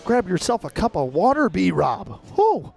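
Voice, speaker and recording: A man's voice: sits high, big pitch swings, unhurried, gravelly, a little mellow, faint music behind. A young American man calling baseball live as a radio play-by-play announcer, in clipped, descriptive phrases. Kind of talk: live sports commentary.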